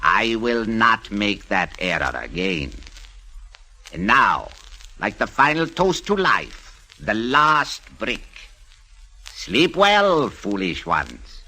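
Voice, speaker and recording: Theatrical, forceful cult villain man. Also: lowish voice, sing-song, takes it slowly, exaggerated voice, rough recording. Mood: gloating, menacing. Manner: theatrical, gloating. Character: smug murderous occult cult master. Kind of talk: 1940s radio drama acting. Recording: vintage radio recording.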